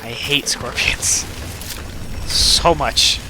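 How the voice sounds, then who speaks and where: A man's voice: mid-range voice, drawn-out words, heavy background noise. An American man giving casual, rambling, wry gameplay commentary. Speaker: gamer, let's play host. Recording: loud game gunfire behind voice. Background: video game gunfire and ambience.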